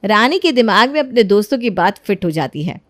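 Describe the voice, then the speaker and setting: A woman's voice: medium pitch, big pitch swings, brisk, no echo, clean studio sound. A woman giving scripted narration for a family moral story.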